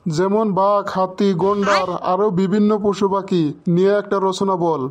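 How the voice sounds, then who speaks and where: Pompous old male cartoon teacher, voiced by a man, scolding and irritable, high-set voice with little melodic movement, some background noise. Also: flat delivery, normal speed, close to an everyday voice. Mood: exasperated. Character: boastful, short-tempered old male schoolteacher quizzing pupils. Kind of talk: comic cartoon dialogue.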